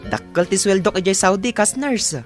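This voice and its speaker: A caring, dutiful young son in a radio drama. He speaks with a lively melody and a northern Philippine accent, over clear background music. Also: sits high, moderate pace. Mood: reassuring. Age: young adult. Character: dutiful, reassuring young son, new nursing graduate.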